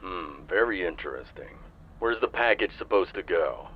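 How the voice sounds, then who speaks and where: A man playing a calm, businesslike police chief in an audio roleplay, heard through a phone filter. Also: deep voice, normal speed, slight background noise. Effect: phone call filter. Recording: tinny phone line.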